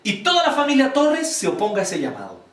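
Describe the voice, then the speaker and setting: A Chilean man teaches a church group in a blunt, animated way, keeping people engaged with questions. His voice sits high and swings widely in pitch. It is heard from a distance in an echoey room with a faint noise behind it. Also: fast talker. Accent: Chilean Spanish. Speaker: church speaker teaching volunteers. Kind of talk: informal church teaching talk with audience questions.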